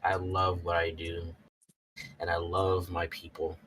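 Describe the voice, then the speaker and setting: A man's voice: sits low, completely flat delivery, a little slow, a bit bright, husky, heavily acted, poor audio. A man voicing a polite, proud, earnest Soul Reaper in a fantasy roleplay game, speaking formally.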